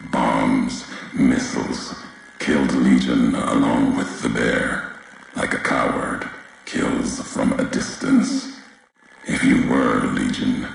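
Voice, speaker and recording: An actor voicing a brooding, vengeful wasteland courier in a video game, grave and fatalistic, with a deep gravelly rasp and solemn, clipped, cryptic delivery. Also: male voice, a little hiss or hum, heavily acted, low-quality recording. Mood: grave, accusing. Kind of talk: video game character dialogue.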